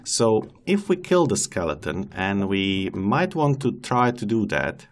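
Slow, low-voiced man with game commentary. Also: sing-song. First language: not English. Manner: chatty, talks to the game's animals and laughs at himself. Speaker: let's play host. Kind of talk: casual gameplay commentary.

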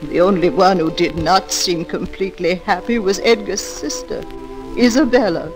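A woman's voice: on the low side, moderate pace, close to an everyday voice, rough recording. A woman playing a devoted old servant, solemnly narrating a tragic tale in radio drama style. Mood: solemn, reminiscing. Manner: solemn storytelling. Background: background music.

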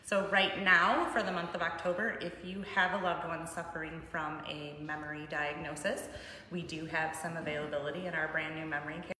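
A middle-aged woman speaks as an interview guest, explaining things in a bright, fairly low voice. She is recorded in a very echoey room. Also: flat delivery, a little fast. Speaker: guest, sales and marketing director. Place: large wood-paneled room indoors. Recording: very echoey room, faint background noise.

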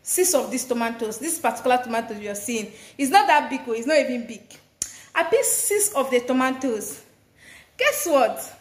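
A woman's voice: fairly high pitch, animated, normal speed. A young woman, chatting to camera while she cooks in her home kitchen. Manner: chatty, talks straight to camera. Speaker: vlogger. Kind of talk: casual chat while cooking. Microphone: phone camera at arm's length. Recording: echoey tiled kitchen.

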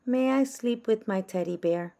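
Storybook badger girl played by a woman: childlike, pleading, persistent at bedtime, pitched well up. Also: moderate pace, creaky, warm tone. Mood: hopeful.

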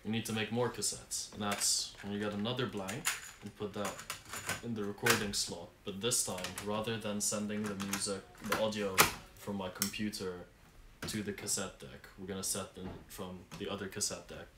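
A man's voice: on the low side, very noisy. A young man giving a casual, laid-back how-to demonstration in a small room at home. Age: young adult. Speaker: musician giving a tutorial. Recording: roomy sound with loud music. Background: loud music.

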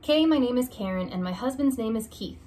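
A young woman reading a children's alphabet picture book aloud, slow and deliberate, stressing each opening letter, in a small room. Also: a little high, lively intonation, warm tone, slight background noise. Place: small room indoors by a curtained window.

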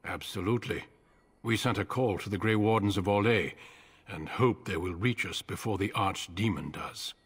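An actor playing a grave, steady veteran warrior commander in a fantasy video game, husky and speaking with solemn formality. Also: male voice, fairly low pitch, moderate pace. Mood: grave, measured.

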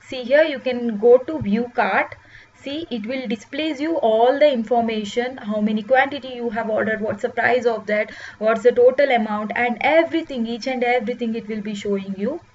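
Lady doing a screen demo, kinda high voice, lots of uh's, muffled lo-fi sound. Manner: explains step by step with frequent "uh" pauses. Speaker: software demo presenter. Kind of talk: screen-recorded product walkthrough. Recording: dull lo-fi voice-over with faint hiss.

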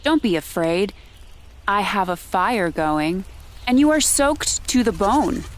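A woman's voice: medium pitch, expressive, takes it slowly. Woman acting composed, cunning wife, noisy backdrop. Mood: soothing. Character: composed, quietly cunning ancient tent-dwelling wife. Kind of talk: amateur audio drama acting.

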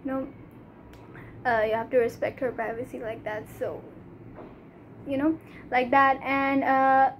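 A teenage girl from Pakistan with a Pakistani English accent, Urdu her first language, talking earnestly to a phone camera in a small room, halting, with many fillers. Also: high-pitched, little pitch movement, slight background noise. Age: teens. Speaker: vlogger. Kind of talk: casual talk to camera. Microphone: phone camera at arm's length.

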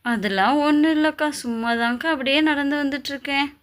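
Woman as a soft, high-voiced meek girl. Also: a little fast. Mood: soft, obliging. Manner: soft, plaintive, timid. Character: meek, mistreated young stepdaughter, pleading and sweet. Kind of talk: amateur Tamil family story drama.

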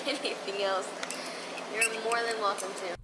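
A woman's voice: medium pitch, fairly even intonation, measured pace, noisy background. A young woman talking spontaneously with police as a suspect, picked up by a body camera. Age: young adult. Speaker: arrested suspect. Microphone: body-worn camera, a few feet away.

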